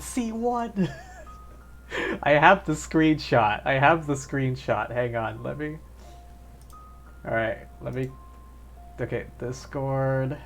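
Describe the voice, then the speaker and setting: A man chatting casually as he gives live commentary on a video game stream. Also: medium pitch. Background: game music.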